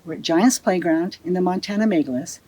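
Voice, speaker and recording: A woman's voice: fairly low pitch, fairly quick, warm tone, slight background noise, poor audio. A woman presenting and explaining a site as a guide, speaking outdoors on location.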